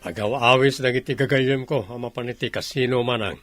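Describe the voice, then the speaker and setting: A man acting as a spoiled, defiant younger brother in a radio drama. He has a medium-pitched voice with an Ilocano accent, recorded close and dry. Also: fairly even intonation, fairly quick, fairly bright tone, dry recording, faint music behind, lightly acted.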